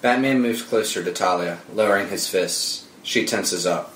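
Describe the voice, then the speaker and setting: A man's voice: deep voice, moderate pace, fairly bright tone, a little hiss or hum. A man narrating screenplay stage directions as scripted narration, with film-score music behind him. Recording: echoey room with music under the voice.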